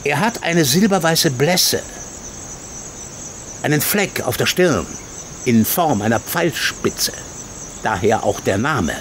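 A haughty colonel who owns racehorses, in a radio drama. His mid-pitched voice swings expressively as he talks down to people bluntly and sceptically. Insects and outdoor ambience are clearly heard behind. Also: expressive, slow pace, pauses often, a little hiss or hum. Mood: condescending. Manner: condescending, blunt. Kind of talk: radio play acting.

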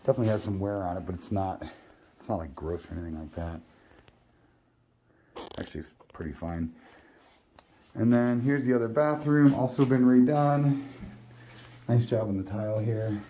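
A man with a fairly low, relaxed and mellow voice talks his way through a property tour. He is low-key and matter-of-fact, commenting off the cuff as he goes. It is recorded on a handheld phone with slight background noise. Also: normal speed, long pauses. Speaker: real estate agent giving a property walkthrough. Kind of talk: casual off-the-cuff walkthrough narration. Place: walking through a condo, briefly outdoors. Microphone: handheld phone at arm's length.

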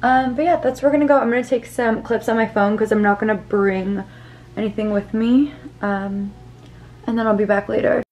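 A woman's voice: a little high, steady tone, brisk. A woman in her twenties chatting casually to the camera at home, rambling with lots of fillers. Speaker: vlogger. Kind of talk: casual vlog chat to camera. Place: home living room and kitchen. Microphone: camera at arm's length.

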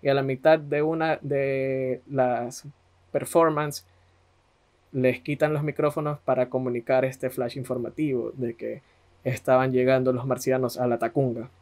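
The man you would hear on a history podcast, telling the tale conversationally in an average-pitched voice. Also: level tone, unhurried, a little mellow. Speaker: podcast co-host. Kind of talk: conversational storytelling.

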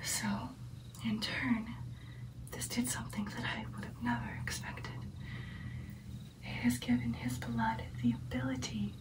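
A protective vampire girlfriend, acted by a young woman speaks in a breathy whisper for an ASMR roleplay. Her delivery is even and reassuring, with little melodic movement, and sits somewhat off the microphone. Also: on the high side, unhurried, recorded from a distance, natural voice, low-quality recording. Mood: composed, explaining. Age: young adult.

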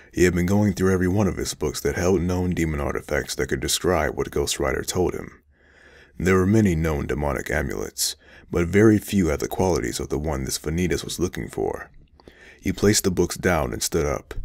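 A man's voice: extremely low pitch, creaky, no room sound, studio quality. A man reading scripted story narration for an audio drama. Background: faint background music.

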